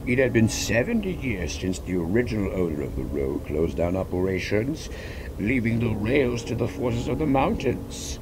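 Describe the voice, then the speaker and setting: A man's voice: fairly low pitch, sing-song, moderate pace, background noise. A man reading a story aloud as a scripted narration. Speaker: fan fiction reader, narrator.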